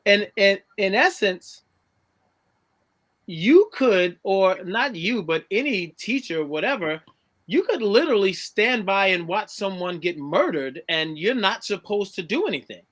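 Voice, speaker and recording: Bright, high-voiced man chiming in. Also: sing-song, unhurried. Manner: frequent short affirming interjections. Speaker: co-host. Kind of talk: casual chat.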